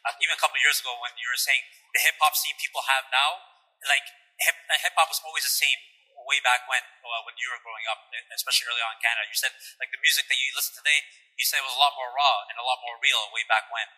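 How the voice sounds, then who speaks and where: A man hosting a podcast interview, casual and hesitant, with many fillers and steady "yeah" agreement. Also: medium pitch, fast, slightly rough, poor audio. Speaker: podcast host.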